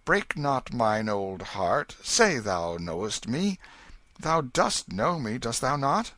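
A man voicing a stern old king who speaks gently and tenderly to his son in old-fashioned English. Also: mid-range voice, very animated, slow, dry recording, voice pushed up, full character voice. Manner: archaic, soothing, kingly speech.

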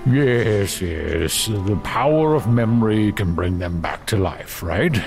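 Male actor as bookish gentle scholar, fantasy audio drama, gravelly, animated intonation, musing. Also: fairly low pitch, faint music behind, exaggerated voice. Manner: deliberate, musing, with hums.

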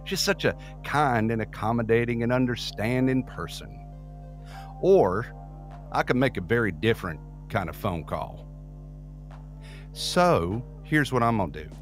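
An actor plays a cocky man who wheedles and dodges in an audio drama. His mid-pitched voice is very animated, with music behind it. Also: a little slow, pauses often, natural voice. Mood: cocky, wheedling. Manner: flippant, wheedling. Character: cocky, evasive, smooth-talking man bargaining his way out. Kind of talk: scripted audio drama acting.

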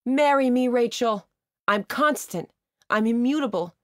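Woman doing a tipsy guy who shouts his proposal, big theatrical delivery, dry recording. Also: a little high, moderate pace, dry recording, natural voice. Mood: shouting. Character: tipsy, impulsive grown man proposing.

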